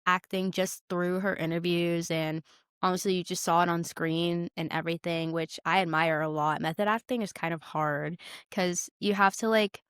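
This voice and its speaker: A woman's voice: on the low side, steady tone, normal speed, fairly bright tone, clear, resonant tone, no echo, studio quality. A young woman co-hosting a podcast, chatting casually and effusively, stressing and repeating her words. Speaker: podcast co-host. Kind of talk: casual chat about films.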